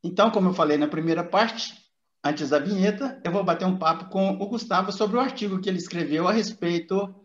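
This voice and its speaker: High-voiced Brazilian man hosting, roomy sound. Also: a little fast. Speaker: interviewer, channel host. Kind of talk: casual interview chat. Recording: roomy, dull lo-fi audio.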